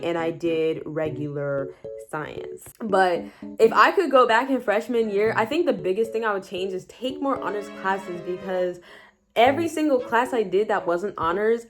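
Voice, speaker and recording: A teenage girl giving casual high school advice in a chatty vlog, saying "like" a lot. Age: teens. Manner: chatty, heavy use of "like", animated asides. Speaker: high school student vlogger.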